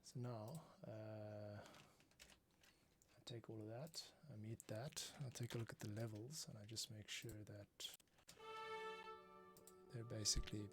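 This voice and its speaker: A man's voice: on the low side, unhurried, long pauses, over music. Laid-back man commentating while working. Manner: laid-back, thinking aloud. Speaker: livestream host, composer. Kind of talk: casual work-along commentary.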